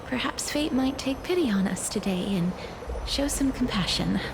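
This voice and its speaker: A woman voicing a composed, thoughtful traveler in a video game, speaking softly in a formal, reflective way, at times breathy. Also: fairly high pitch, expressive, normal speed, background noise. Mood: calm, reflective. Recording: loud music under the voice.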